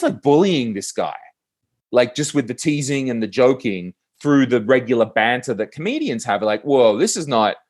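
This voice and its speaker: A man with an Australian accent, a podcast guest talking casually and animatedly, with lots of fillers and quick restarts. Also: medium pitch. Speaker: podcast guest, comedy producer. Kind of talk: casual conversational interview.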